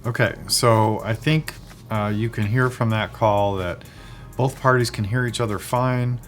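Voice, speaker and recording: A man giving a casual, unscripted product review, speaking in a measured way with thoughtful, hesitant phrasing. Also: lowish voice, fairly even intonation, faint music behind. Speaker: product reviewer, video host.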